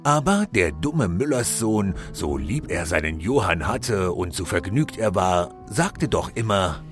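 A man narrating a scripted fairy-tale audio play as a solemn, expressive storyteller. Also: sits low, measured pace, music in the background. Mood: storytelling.

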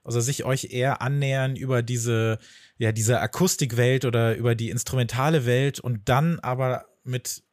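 A man's voice: medium pitch, steady tone, normal speed, dry recording, studio quality. A man co-hosting a podcast interview, asking long searching questions and rephrasing as he goes.

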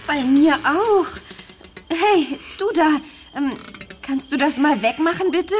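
High, expressive little toy girl. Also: slow, a little hiss or hum. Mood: pleading. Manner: hesitant, exclaiming, expressive. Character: small blonde plastic toy girl, indignant but sweet. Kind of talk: German children's audio drama acting.

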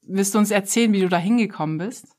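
A young adult woman hosting a podcast, reading a measured, carefully scripted introduction and asking interview questions. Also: medium pitch, a little mellow. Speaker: podcast host.

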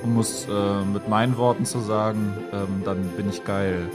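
A man playing a crude, emotionless ex-convict who talks in a halting deadpan, in an improvised comedy radio play. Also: fairly low pitch, natural voice. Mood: earnest deadpan. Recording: loud music with jingle bells behind.

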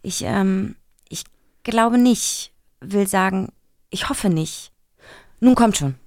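A woman's voice: mid-range voice, takes it slowly, a little mellow, no echo, clean studio sound. A woman voicing a hesitant, uneasy mother with a scared child in a children's radio play.

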